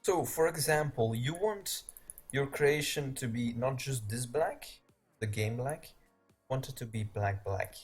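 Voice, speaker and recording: A man's voice: medium pitch, lively intonation, a little slow, breathy edge, faint music behind. A man from Belgium with a Belgian Dutch accent, a Dutch native speaker, hosting a game-tutorial live stream in loose, rambling chat and laughing often.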